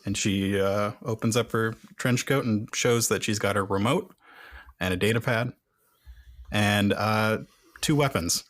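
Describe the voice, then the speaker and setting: A man's voice: fairly low pitch, unhurried, fairly bright tone. A man playing a tabletop roleplaying game, chatting casually and narrating his character's moves.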